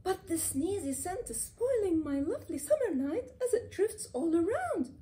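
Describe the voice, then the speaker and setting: Woman voicing a fussy, high-pitched cartoonish cat. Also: big pitch swings, moderate pace, mellow. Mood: grumbling. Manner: theatrical, exclamatory. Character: cheeky, fussy male cat, easily exasperated.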